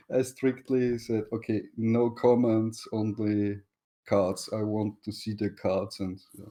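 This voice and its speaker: A man's voice: on the low side, flat delivery, slow, mellow. A man with an Austrian German accent, a native German speaker chatting as a guest in English and hesitating as he searches for words. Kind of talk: casual chat.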